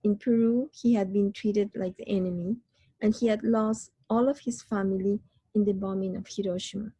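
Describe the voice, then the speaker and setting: A woman from Chile, an artist, reading her installation statement in a measured, reflective way. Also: mid-range voice, fairly even intonation, dark tone, husky, dry recording, low-quality recording.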